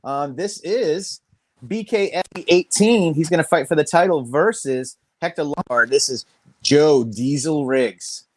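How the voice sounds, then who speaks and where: A man's voice: fairly high pitch, measured pace, dry recording. A man hosting a casual interview, enthusiastic and hyping his guest, with loose grammar. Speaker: interview host.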